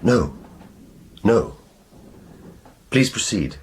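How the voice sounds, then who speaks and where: Very slow, low-voiced sympathetic listener. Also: male voice, animated. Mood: sympathetic, grave. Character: Mister Walton, attentive, sympathetic male listener to a confession. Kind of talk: radio drama acting. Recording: clear background noise and ambience.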